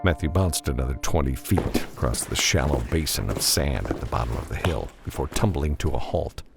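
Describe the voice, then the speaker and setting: A man's voice: extremely low pitch, animated, a little fast, gravelly, over music. A man narrating scripted adventure fiction as an audiobook reader.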